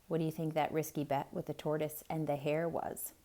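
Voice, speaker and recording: A woman reading a picture book aloud to children in an expressive style, pausing to ask the listener questions. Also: low-pitched. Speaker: children's storyteller. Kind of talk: theatrical read-aloud for kids.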